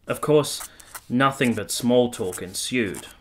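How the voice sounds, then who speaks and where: A man playing a courteous, reserved army captain in a radio play, speaking in a measured, formal manner. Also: mid-range voice, a little hiss or hum, close to an everyday voice. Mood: reflective. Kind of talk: radio play narration and dialogue.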